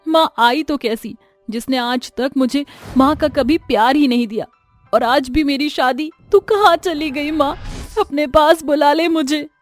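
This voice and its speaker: Casting: woman performer as tender, wronged young bride for a story cartoon, high pitch, pleading delivery, music underneath. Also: unhurried. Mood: grieving, pleading. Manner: earnest, pleading, emotional. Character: wronged, forgiving, tearful young stepdaughter and bride.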